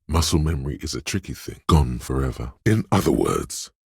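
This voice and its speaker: An actor voicing a man's brooding, tactile inner voice in a game, narrating with husky gravel in a deliberate, dramatic, literary manner. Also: a bass voice, big pitch swings, a little mellow, no room sound, clean studio sound, exaggerated voice. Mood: wry, measured.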